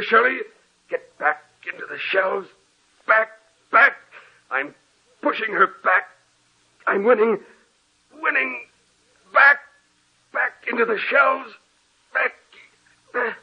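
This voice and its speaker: A man's voice: high voice, slow pace, long pauses, slightly rough, heavily acted. A man playing a tormented novelist in a 1940s radio drama. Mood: frantic. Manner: frantic then hushed, haunted. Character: haunted guilt-ridden male novelist, tormented. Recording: tinny old radio broadcast.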